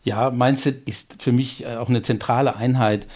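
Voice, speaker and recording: Middle-aged male coach, interview guest; calm, explanatory, anecdotal. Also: medium pitch. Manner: measured, explanatory, occasional filler hesitations; tells personal anecdotes to make points. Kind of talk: interview conversation.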